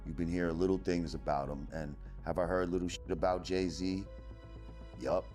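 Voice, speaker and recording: A man talking casually and bluntly, haltingly, answering his own questions. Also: deep voice, fairly even intonation, a little mellow. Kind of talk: casual spoken commentary. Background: music.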